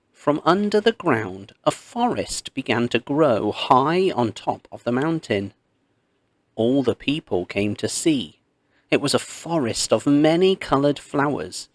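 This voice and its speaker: A man narrates a storybook myth in a medium-pitched voice. He takes his time, shaping phrases expressively and leaving pauses. The sound is very dry, with no room around it. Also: no room sound. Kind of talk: scripted read-aloud storytelling of a myth.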